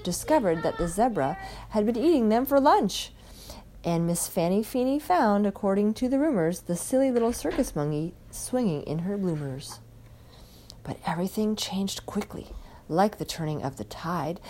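A woman reading a rhyming picture book aloud in an expressive, dramatic way. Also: medium pitch. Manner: dramatic, animated delivery. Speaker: storybook reader.

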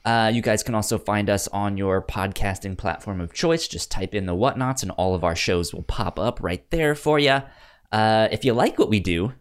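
A man's voice: fairly low pitch, normal speed, clean tone. A man co-hosting a podcast in casual, informal, conversational chat. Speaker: podcast co-host.

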